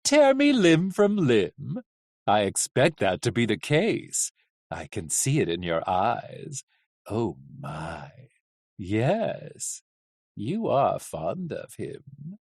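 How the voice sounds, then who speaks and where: An audiobook narrator plays a male coachman who gloats over his captives. He is cheerfully menacing and mock-polite, drawing out words theatrically. His pitch leaps about in a slow, savoring delivery. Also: big pitch swings, gravelly, darkish tone, breathy edge, no echo, studio quality, full character voice. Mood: taunting. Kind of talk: audiobook character acting.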